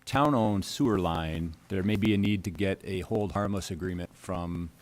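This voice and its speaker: A man giving informal technical comments at a board meeting, halting and repeating words as he goes. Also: deep voice. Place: town board meeting room.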